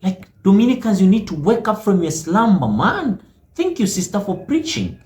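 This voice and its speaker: A man's voice: high-pitched, big pitch swings, normal speed, a little mellow, roomy sound, slight background noise. A man hosting a reaction video, commenting in emphatic, preachy asides.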